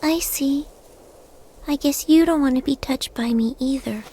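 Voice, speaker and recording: A woman voicing a gentle, downhearted young girl in a video game, speaking softly and politely. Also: sits high, measured pace. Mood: dejected. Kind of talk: video game cutscene voice acting. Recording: music behind the voice.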